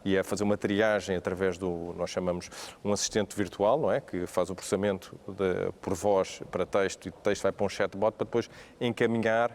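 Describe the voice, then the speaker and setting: A man with a European Portuguese accent speaking on a business panel, pausing often with fillers and restarts. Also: sits low, steady tone, a little mellow. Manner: hesitant, many fillers and restarts. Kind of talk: panel discussion.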